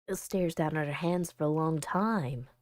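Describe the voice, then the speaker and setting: A woman voicing an earnest, anguished visual novel protagonist in a halting, dramatic first-person reading. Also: sits low, darkish tone, no echo. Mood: despairing.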